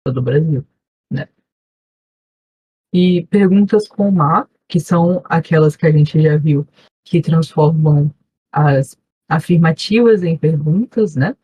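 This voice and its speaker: A Brazilian-accented woman teaches an online class, explaining step by step and pausing often to check that her students follow. Her voice is mellow and slightly husky. The video call audio sounds dry and muffled. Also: low voice. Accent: Brazilian Portuguese. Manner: patient explaining, frequent "né?" check-ins. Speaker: volunteer language teacher. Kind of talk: online class review lesson.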